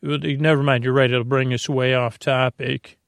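A man with a creaky, gravelly voice at a middle pitch, recorded close and dry. He talks like a sleep-podcast host, gently lulling. His sentences wander, hesitate and drift into tangents. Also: level tone, normal speed, dry recording. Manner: meandering, hesitant, often trailing into tangents. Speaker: host of a bedtime-story podcast. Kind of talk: rambling sleep-podcast monologue.

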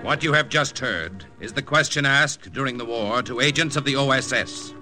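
A man's voice: medium pitch, normal speed, loud music behind the voice. A man announcing a 1950s radio drama in a dramatic, portentous way.

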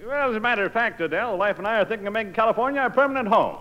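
A muffled old radio broadcast carries a boastful, moneyed old buddy. His high voice bounces around in pitch with glib, hearty sitcom cheer. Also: expressive, very fast. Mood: breezy. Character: breezy, boastful old pal flaunting money. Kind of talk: 1950s radio sitcom acting.

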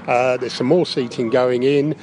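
A man's voice: mid-range voice, fairly even intonation, normal speed, darkish tone. A man giving upbeat, enthusiastic, unscripted interview answers, recorded on location with some background noise. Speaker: interview guest. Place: inside a big top tent in a park.

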